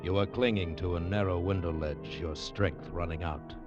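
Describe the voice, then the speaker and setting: A man's voice: sits low, steady tone, normal speed. A man announcing with theatrical, suspenseful narration in a dramatic 1940s radio style. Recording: dull vintage radio recording. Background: dramatic instrumental music.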